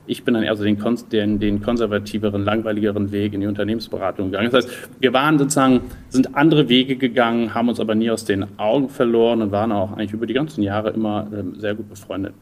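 Low-voiced man from Germany, thoughtful and hesitant. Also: steady tone, fast talker, few pauses. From Düsseldorf, Rhineland, Germany. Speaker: interview guest, entrepreneur. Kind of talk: conversational interview answers.

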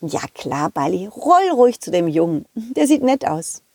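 A woman's voice: fairly low pitch, sing-song, normal speed, warm tone, slightly rough, no echo, full character voice. A woman voicing a cheerful, loyal male dog who tells his own adventure to children in a chatty, giggly way. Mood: kind. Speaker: storyteller. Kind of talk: children's audio play narration.

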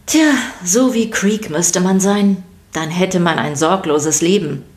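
A woman's voice: lowish voice, slow. A woman narrating a scripted children's story podcast, addressing her listeners playfully and directly.

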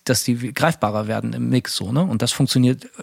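A man's voice: mid-range voice, brisk, creaky, husky, no room sound, studio quality. A man co-hosting a casual podcast chat, rambling with many fillers and restarts. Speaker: podcast co-host.

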